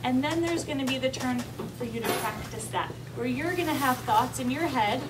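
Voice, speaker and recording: High-pitched woman teacher, distant and noisy. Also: moderate pace. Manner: simple, coaxing, child-directed instruction with questions. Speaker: teacher reading aloud to kindergarteners. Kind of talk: interactive read-aloud lesson. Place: classroom with young children. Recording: distant mic, noisy room.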